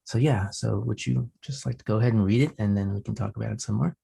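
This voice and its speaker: A man with a lowish voice, husky and full of creak. He hosts a poetry interview conversationally, effusive and halting as he goes. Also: dark tone. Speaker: poetry show host.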